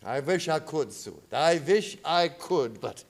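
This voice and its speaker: An actor playing an ominous, commanding professor with a solemn, theatrical manner in a radio drama. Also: male voice, on the high side, expressive, measured pace. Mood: grave warning. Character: ominous, commanding male professor, grave vampire expert. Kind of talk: old-time radio drama acting.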